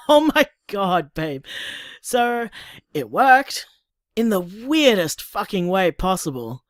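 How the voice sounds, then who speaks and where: A woman with an Australian accent playing a blunt, sweary young partner, talking in an animated, wry way. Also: medium pitch, takes it slowly, no room sound, clean studio sound. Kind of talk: audio drama, voice-message monologue.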